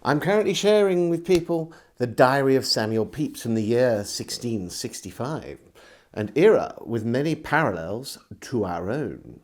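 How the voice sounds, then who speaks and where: A man reading a historical diary aloud as a storyteller, in measured, theatrical scripted narration. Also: medium pitch, sing-song, darkish tone.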